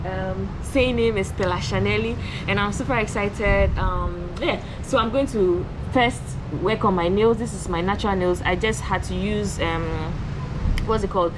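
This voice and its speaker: A woman around thirty, talking excitedly to camera in a casual vlog, bubbly and repeating herself. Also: medium pitch, background noise. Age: 30s. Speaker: travel vlogger. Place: at work on a rainy morning.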